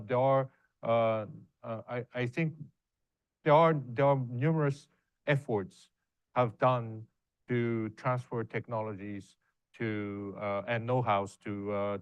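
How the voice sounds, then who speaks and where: Man, professor on panel, halting, fillers, resonant, muffled dry sound. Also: medium pitch, smooth, no room sound. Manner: hesitant, many fillers, halting phrasing. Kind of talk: answering audience questions on a panel.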